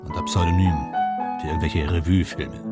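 A man's voice: low voice, steady tone, moderate pace, over loud music. A man acting in a radio drama as a vain, wistful stage and film actor from 1930s Germany, musing theatrically in clipped fragments. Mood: melancholy reminiscing. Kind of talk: radio drama monologue and dialogue.

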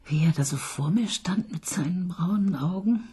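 An actress playing a middle-aged married woman detective who talks in a dry, probing and sometimes hushed way. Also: lowish voice, moderate pace, husky. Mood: wistful, hushed. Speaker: police detective. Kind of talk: radio play acting.

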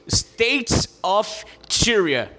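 A man's voice: high voice, very animated, extremely slow, pushed and bright. A man co-hosting a podcast in casual, slangy, emphatic chat. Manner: slangy, emphatic, says "mano".

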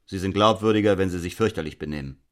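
Actor as a sardonic, condescending husband. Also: male voice, deep voice, quick pace, natural voice. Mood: sardonic lecturing. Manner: sardonic, lecturing. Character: cynical, condescending husband, smugly provocative and articulate. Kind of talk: stage-like dramatic dialogue.

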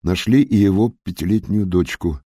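A man voicing a young Russian-American who frankly recounts his hard family past in an unhurried audiobook narration with polite asides. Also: deep voice, warm tone, breathy edge, no echo, clean studio sound. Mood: matter-of-fact.

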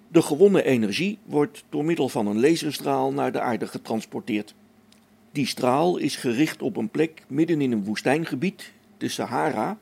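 A man's voice: mid-range voice, gravelly, darkish tone, slightly rough. A man playing a practical, patient engineer on a space station in a science fiction radio play, explaining things matter-of-factly.

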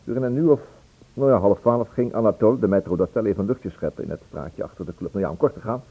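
A man acting in a Dutch radio play as a brisk, blunt police inspector with a conversational delivery. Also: fairly low pitch, vocal fry, slightly rough. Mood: matter-of-fact. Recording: thin narrow-band old radio recording.

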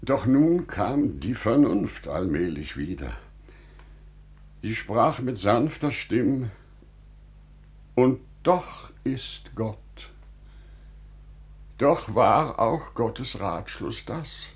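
Casting: wise, devout merchant for classical radio drama; low voice, slow solemn declamation, fervent feeling. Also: male voice, expressive. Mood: solemn, awed. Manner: solemn, deliberate, declamatory, swelling with emotion.

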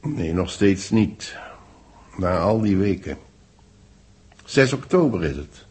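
A man acting in a 1960s radio drama, playing a composed boss who speaks in a measured, lecturing way to his secretary. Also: low voice, big pitch swings, darkish tone, husky, full character voice. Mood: measured, patient.

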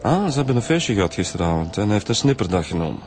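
Flemish police inspector, lowish voice. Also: male voice, very animated, very fast, a little mellow. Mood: brisk. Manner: matter-of-fact, informal. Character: quick-thinking male federal police inspector. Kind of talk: naturalistic radio drama acting. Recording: music under the dialogue.

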